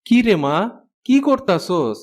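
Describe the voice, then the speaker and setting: A man's voice: high-pitched, very animated, slow, a little mellow, full character voice. A man with a Bangladeshi accent voicing an ailing, downhearted but loving village father in a cartoon. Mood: fond. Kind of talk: Bengali fairy tale cartoon dubbing.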